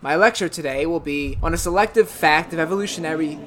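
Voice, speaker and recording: A woman voicing a man haunted by voices and driven into murderous madness, in hushed narration and frantic outbursts on a noisy recording. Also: low voice, fast talker. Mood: brooding. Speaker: narrator and lead figure. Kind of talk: radio drama narration and acting.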